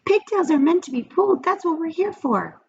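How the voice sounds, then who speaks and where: A tiny, cajoling storybook pigtail comes through in a high, lively whine, acted out by a woman reading aloud. It pleads and coaxes like a pushy little imp. The recording is muffled, with clear noise behind it. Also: fairly quick, heavily acted. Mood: coaxing. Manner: cajoling, whiny. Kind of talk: children's storybook character voice.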